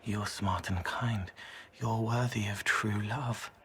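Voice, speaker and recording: A gentle, otherworldly young man in a fantasy game. He speaks very slowly in halting poetic fragments. His voice sits a little low, dreamy and kind. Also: steady tone. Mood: gentle, earnest. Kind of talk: video game voice acting.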